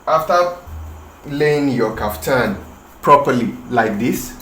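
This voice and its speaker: Slow Nigerian man explaining tailoring. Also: mid-range voice, expressive, fairly bright tone. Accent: Nigerian English, Yoruba. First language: Yoruba. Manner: slow, hesitant, explaining with pauses and restarts. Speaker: tailoring instructor. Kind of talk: tutorial demonstration. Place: room with a patterned rug, working on the floor. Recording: echoey room, faint ambient noise.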